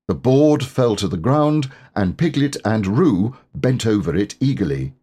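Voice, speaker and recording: Slow, animated male storyteller. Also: on the low side, breathy edge. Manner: measured, careful storytelling. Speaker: audiobook narrator, voice actor. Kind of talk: expressive scripted children's story narration.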